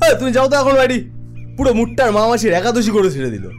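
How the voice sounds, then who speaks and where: A man playing a cocky, impatient young street thug in an animated horror cartoon. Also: very high voice, rapid-fire, faint music behind. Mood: boastful, irritated. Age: young adult. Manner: swaggering, impatient.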